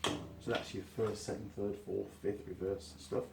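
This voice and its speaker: A man, hoarse from a cold, hosting his workshop channel and chatting casually through a gearbox teardown with plenty of fillers. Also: fairly low pitch, normal speed, heavy background noise. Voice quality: husky. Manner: chatty, relaxed, rambling with fillers.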